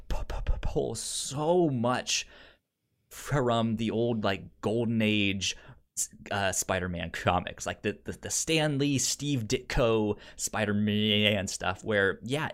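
A podcast co-host chatting casually about films, often stammering and repeating syllables and words. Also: medium pitch. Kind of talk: casual conversational film chat.

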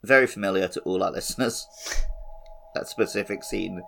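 A man's voice: sits low, moderate pace, creaky, music in the background. A man chatting casually about a game as a podcast co-host, with dry, wry humour. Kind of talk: casual game discussion chat.

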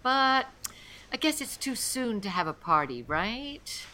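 Woman playing a slow, animated schoolteacher. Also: a little high, a loud crowd. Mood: indulgent. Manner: measured, addressing a class. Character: indulgent female elementary school teacher addressing her class. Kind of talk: radio play acting.

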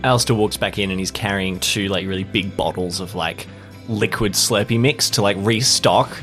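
A man's voice: on the low side, moderate pace, a bit bright, soft background music. A man improvising narration in a casual way as a player in an audio drama podcast.

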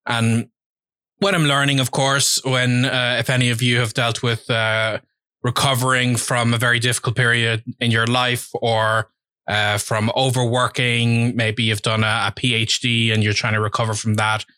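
Bright-voiced man, reflective. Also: medium pitch, little pitch movement, no room sound. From Ireland. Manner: reflective and candid, with frequent pauses and fillers. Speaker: language-learning vlogger. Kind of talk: personal update to camera. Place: home.

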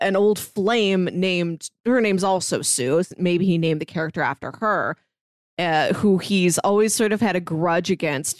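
A woman co-hosting a casual book discussion, telling things in a halting, conversational way with acted-out quotes. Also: lowish voice, no echo, studio quality. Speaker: podcast co-host.

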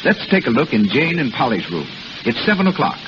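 A man announcing a 1930s radio drama in a crisp, formal delivery. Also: medium pitch, very animated, a little fast. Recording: hissy muffled old radio.

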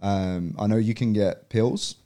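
A young man from Poland, a podcast guest, talking in a relaxed way and often pausing with "um" and "you know". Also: fairly low pitch. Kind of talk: casual podcast conversation.

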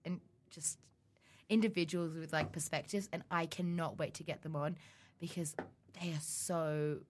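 A woman's voice: low voice, moderate pace. A young woman, a student co-hosting a podcast, talking casually in a giggly, rambling way. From Australia. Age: young adult. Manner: giggly, rambling, animated storytelling. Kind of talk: casual chat.

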